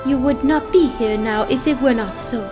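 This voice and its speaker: A woman playing a gentle, serene spirit mother who speaks formally and soothingly to her grown son in an audio drama. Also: a little high, normal speed, over music, close to an everyday voice. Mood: serene, tender. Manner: formal, soothing, solemn phrasing. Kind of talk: fan comic audio drama acting.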